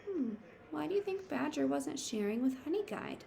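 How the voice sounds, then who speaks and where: A woman's voice: high voice, expressive, normal speed, gravelly, mellow. A woman reading a picture book aloud like a teacher, expressively, with simple explanatory asides for children.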